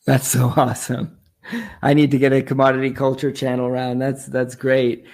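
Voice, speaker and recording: A man's voice: mid-range voice, monotone, moderate pace, a little mellow. Canadian man chatting in an interview. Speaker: interview guest, online commodities show host. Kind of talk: casual conversational interview.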